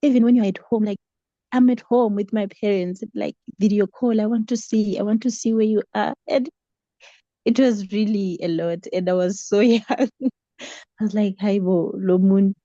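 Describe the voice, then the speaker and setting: A South African woman shares a story in a casual, conversational way, with bits of acted-out dialogue. Her voice is soft-edged and mellow. The sound is close and dry, a little dull like an online call. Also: mid-range voice, steady tone, moderate pace, no room sound. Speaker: book club member sharing a story.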